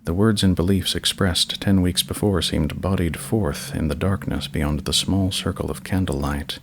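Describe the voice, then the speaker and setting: A man with a very low voice and a heavy creak reads scripted narration. His delivery is measured, solemn and slightly dramatic, like a horror storyteller, over light background noise. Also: steady tone. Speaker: narrator, channel host.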